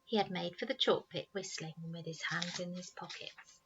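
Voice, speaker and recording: A woman reading a children's story aloud in an expressive storytelling style, like a teacher reading to pupils. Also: fairly low pitch, moderate pace, highs cut off, background noise.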